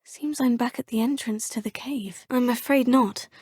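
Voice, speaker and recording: A woman's voice: fairly high pitch, fairly even intonation, normal speed, darkish tone, husky, no echo, clean studio sound. A polite young witch student. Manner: polite and formal, addressing teachers as sir and professor. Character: polite young female Hogwarts fifth-year student witch. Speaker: player character. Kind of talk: video game voice lines. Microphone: close studio mic.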